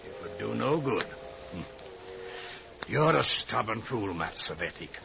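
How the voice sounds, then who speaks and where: An actor playing a shrewd, sly older Scottish secret service man with a gravelly voice, in 1950s radio drama style. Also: fairly low pitch, lively intonation, takes it slowly, heavily acted. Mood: sly coaxing.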